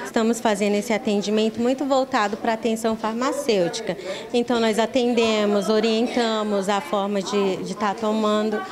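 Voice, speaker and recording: A woman's voice: on the high side, fairly even intonation, moderate pace. A middle-aged woman with a Brazilian accent giving an explanatory interview as a pharmacy staff member, sometimes repeating words. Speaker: municipal pharmacy staff spokesperson. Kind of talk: interview answer. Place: small busy pharmacy office, indoors. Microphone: handheld reporter's microphone. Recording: busy room chatter behind.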